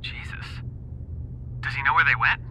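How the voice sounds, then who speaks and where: Man playing an earnest, bright-voiced professor on phone. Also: mid-range voice, level tone, normal speed, a little hiss or hum. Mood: grave, urgent. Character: eager male professor, earnest scholar warning of returning threat. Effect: satellite phone voice. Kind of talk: audio drama acting.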